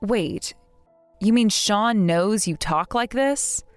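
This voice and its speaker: A woman in her thirties voicing a patient, polite bride-to-be who speaks in a soft, measured, restrained way. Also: mid-range voice, dry recording, faint music behind, close to an everyday voice. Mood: hurt. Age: 30s.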